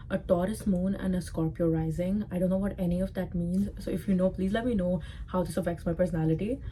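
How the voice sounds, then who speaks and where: A young woman in her early twenties with an Indian accent, chatting easily to her viewers. Her voice is very mellow and dark, sitting a little low. She talks casually and loosely, with plenty of "like" along the way. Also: a little hiss or hum, low-quality recording. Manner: chatty, full of "like" fillers. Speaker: vlogger. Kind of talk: casual chat to camera.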